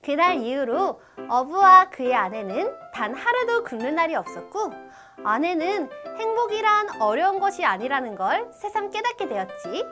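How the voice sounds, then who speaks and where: A woman's voice: extremely high pitch, sing-song, moderate pace, music in the background. A woman narrating a scripted children's story as a storyteller.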